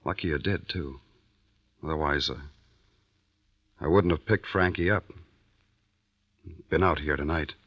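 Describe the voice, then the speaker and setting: An actor playing a reassuring small-town deputy sheriff in an old radio drama, with a folksy, gentle manner. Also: male voice, extremely low pitch, measured pace, pauses often. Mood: wry, relaxed. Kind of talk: 1940s radio acting. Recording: muffled vintage radio recording.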